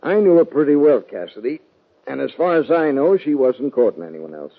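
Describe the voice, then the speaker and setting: A man's voice: mid-range voice, very animated, a little fast. A man playing a hard, hostile town sheriff with a Western American accent, curt and blunt, in 1950s radio western style. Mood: guarded. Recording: tinny vintage 1950 radio recording.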